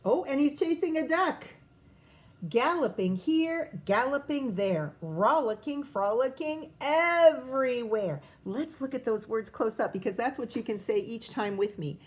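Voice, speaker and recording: A woman teacher reading a picture book aloud to children, expressive and often pausing to prompt young listeners with questions. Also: a little high.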